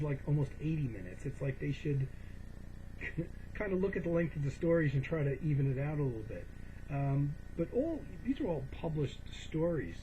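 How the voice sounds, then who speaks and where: A man's voice: on the high side, dark tone. A man giving a casual, rambling show-and-tell as a vlogger, hesitant and often correcting himself as he meanders along. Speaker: vlogger showing a collection. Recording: dull lo-fi home recording, clear background noise.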